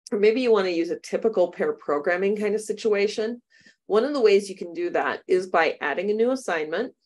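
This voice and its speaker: A woman's voice: mid-range voice, a little mellow. An American woman, middle-aged, leading a computer science workshop for teachers over a video call, chatty and upbeat and laughing often. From United States. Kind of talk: webinar workshop demo. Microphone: webcam video call.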